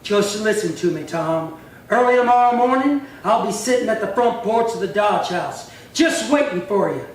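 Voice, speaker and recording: An actor playing a vengeful gunslinger brother in an old-time western radio play, taunting and threatening. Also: male voice, sits high, animated, moderate pace. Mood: cold threat. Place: live theatre stage performance. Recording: roomy, distant stage pickup with faint noise.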